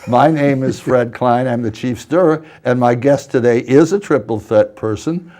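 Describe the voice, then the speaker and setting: An older man hosting a TV interview in a small studio on a lapel mic, chatty and halting, with many restarts. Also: mid-range voice, mellow. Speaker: talk show host.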